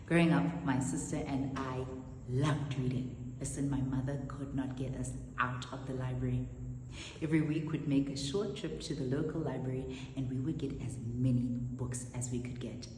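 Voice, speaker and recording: A woman storyteller telling a personal story in an expressive, measured spoken style. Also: rumbling low. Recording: echoey reverberant room, faint noise.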